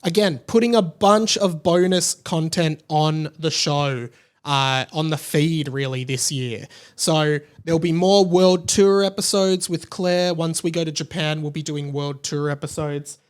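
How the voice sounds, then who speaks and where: A man's voice: a little high, steady tone, measured pace, clear, resonant tone. A man with an Australian accent hosting a podcast alone, talking casually in a rambling, conversational way. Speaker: podcast host. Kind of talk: casual solo podcast talk.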